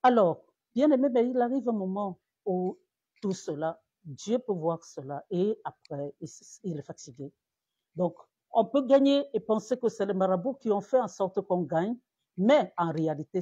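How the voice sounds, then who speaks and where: A woman's voice: medium pitch, very animated, normal speed, dark tone, husky, no echo, muffled recording. A woman pastor from Cameroon preaching in a live-streamed sermon, repeating words and rising to emphatic peaks.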